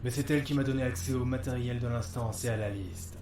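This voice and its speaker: An actor playing an angry, haunted young man in an audio drama, intense and often breathy. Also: mid-range voice, fast talker, background noise, close to an everyday voice. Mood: cold. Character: obsessive angry young man haunted by voices in his head. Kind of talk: French audio drama acting. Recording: loud music under the voice.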